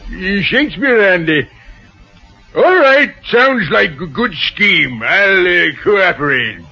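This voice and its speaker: A man playing a tipsy, washed-up theatrical actor with halting, slurred delivery in an old-time radio drama. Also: sits high, lively intonation, gravelly, exaggerated voice. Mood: grandly theatrical. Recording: muffled old radio recording with music.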